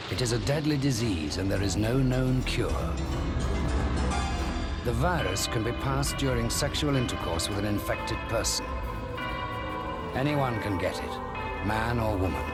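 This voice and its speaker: A man reading a scripted public-information advert in a grave, ominous manner, as on 1980s television. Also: medium pitch. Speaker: advert voice-over. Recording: loud soundtrack behind 1980s TV advert. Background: loud music.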